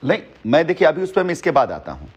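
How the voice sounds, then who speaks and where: A man's voice: a little high, wildly swooping pitch, fast, slightly rough. A middle-aged man heading a company, talking assertively and boastfully on a phone call, repeating his points. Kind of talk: heated phone conversation.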